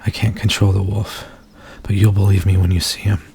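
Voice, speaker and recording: A man acting an anguished, pleading werewolf in human form for an ASMR roleplay, speaking in an intimate, halting, confessional way with a gravelly voice. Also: low-pitched, flat delivery, dark tone, exaggerated voice. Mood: urgent, pleading.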